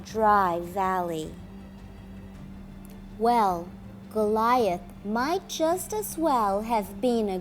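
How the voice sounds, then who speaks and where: A woman reading a children's story aloud in a dramatic, expressive way. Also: fairly high pitch, a little hiss or hum. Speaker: storyteller, teacher.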